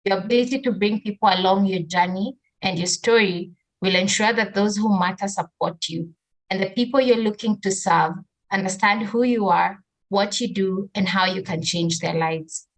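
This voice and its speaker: A woman's voice: medium pitch, moderate pace, rough recording. Female trainer, medium register, prepared motivational address. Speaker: brand strategy trainer. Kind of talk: scripted motivational message.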